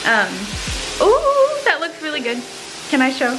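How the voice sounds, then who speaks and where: A woman in her twenties, talking casually and excitedly to a handheld camera while touring a rental house. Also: high-pitched, measured pace, over music, poor audio. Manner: bubbly, excited, filler-heavy. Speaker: travel vlogger. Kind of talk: casual vlog chat. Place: rental house indoors and forest outdoors. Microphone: handheld camera at arm's length.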